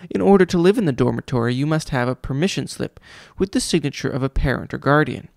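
A man's voice: mid-range voice, expressive, fast talker, creaky, darkish tone, dry recording, clean studio sound. A man reading a storybook, voicing a pompous, cruel male vice principal who mimics people mockingly. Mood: smug.

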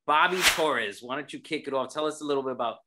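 Guy in his 30s hosting a webcam chat from home, loose and rambly, laughs a lot, mid pitch. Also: quick pace, heavy background noise. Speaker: host. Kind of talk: casual video call chat. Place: home room indoors. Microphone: webcam on video call.

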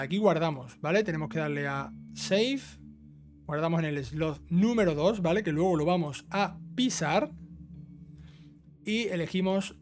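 A man giving a casual, instructional walkthrough of a video game, often adding short check-in tags. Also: on the high side. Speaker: gaming YouTuber giving a trophy guide. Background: background music.

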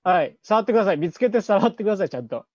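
A man's voice: high-pitched, lively intonation, racing, a little mellow, dry recording, muffled recording. A young man hosting casual banter among comedians, recorded over a video call. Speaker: host of a comedians' live stream. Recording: video call with music behind.

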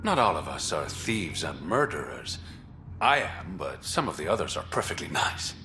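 An actor playing a suave, wry smuggler and secret crime boss in a game, teasing and self-assured. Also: male voice, rumbling low, very animated, moderate pace, sharp tone, a little hiss or hum, full character voice. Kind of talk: cinematic game dialogue acting.

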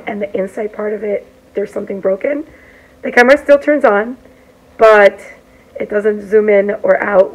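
A woman's voice: medium pitch, husky, rough recording. Woman teacher vlogger, losing her voice; casual venting to a phone-selfie in a classroom. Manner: upset, venting. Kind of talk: casual classroom vlog recap. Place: classroom, indoors. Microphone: phone at arm's length.

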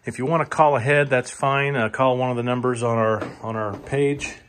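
Male auctioneer, mid-pitched voice, informal house tour delivery, halting, leans on repetition for emphasis. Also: fairly even intonation, fairly bright tone, a little hiss or hum. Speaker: auctioneer giving a walk-through tour. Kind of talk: informal narrated walk-through of sale items. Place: indoors, moving through rooms of a large house. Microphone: handheld phone or camera, held by the speaker.

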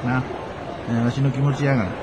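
Man playing gruff Kansai dad over street noise. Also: medium pitch, level tone, fast talker, over a noisy crowd, lightly acted. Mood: good-natured. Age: middle-aged. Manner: grumbling, blustering. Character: gruff, good-natured middle-aged bicycle repairman father. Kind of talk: radio drama acting.

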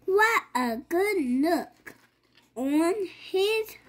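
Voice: very high voice, expressive, drawn-out words, a bit bright. A child reading a picture book aloud. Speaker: child reader.

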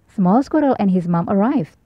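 A woman narrating a children's picture book aloud in a clear storytelling way. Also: medium pitch, animated, normal speed, dry recording. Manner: clear, sing-song storytelling. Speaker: storybook reader. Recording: dull lo-fi sound.

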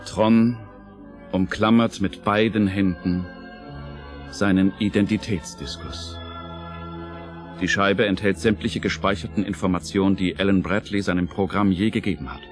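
Slow, measured male storyteller. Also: sits low, fairly even intonation. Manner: measured, suspenseful. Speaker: narrator. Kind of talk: scripted dramatic audio-play narration. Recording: narration over clear music.

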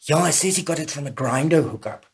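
A gossipy friend, very animated. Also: male voice, fairly high pitch, vocal fry. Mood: disapproving. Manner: blunt, chatty, drawn-out emphasis. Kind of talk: radio drama dialogue.

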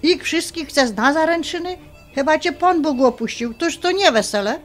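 A high, swooping female voice: a blunt highlander mother from a Polish radio play, old-fashioned and scolding, laying down the law in folksy dialect. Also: animated, normal speed, soft background music. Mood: indignant. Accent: Polish highlander (Podhale). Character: bossy middle-aged mother, blunt, old-fashioned, pious. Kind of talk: radio drama acting.

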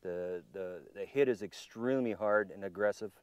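A middle-aged man hosting a fishing show, commenting with excitement while he fights a fish from a boat on a lake. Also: on the low side, normal speed, smooth, no echo, muffled recording. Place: outdoors on a lake, in a pontoon boat.

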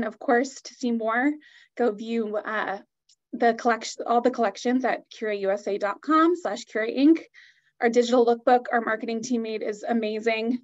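A woman's voice: fairly high pitch, dull audio. A woman giving an upbeat, enthusiastic product presentation on a video call.

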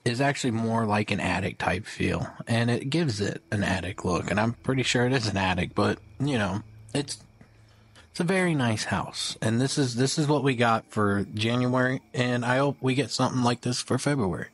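A man's voice: mid-range voice, moderate pace, husky, dry recording. A man hosting a gaming video, giving casual update commentary in a conversational, rambling way.

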